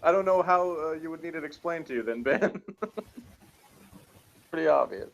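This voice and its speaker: A man's voice: on the high side, lively intonation, unhurried, gravelly, highs cut off. A man reviewing a TV episode in casual, rambling, jokey chat, often chuckling. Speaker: reviewer on a TV show review channel.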